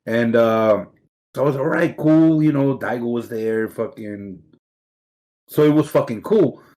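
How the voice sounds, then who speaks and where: A man's voice: medium pitch, a little slow, mellow. Swearing Mexican-accented man, laid-back. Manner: laid-back, swears constantly, laughs easily. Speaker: podcast guest. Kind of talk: casual storytelling interview.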